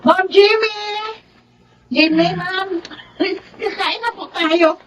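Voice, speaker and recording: A woman's voice: very high-pitched, slow pace, a bit bright, a little hiss or hum, exaggerated voice. A woman playing a deferential, solicitous young housemaid in a Filipino radio drama, with a singsong, exclaiming manner.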